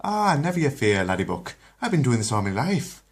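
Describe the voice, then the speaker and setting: A jolly, folksy doctor speaks in a put-on Irish accent, as a man puts him on, with a bright, sing-song lilt pitched well above a deep natural voice. He sounds endlessly reassuring. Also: big pitch swings, fairly quick. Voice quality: lilting, folksy.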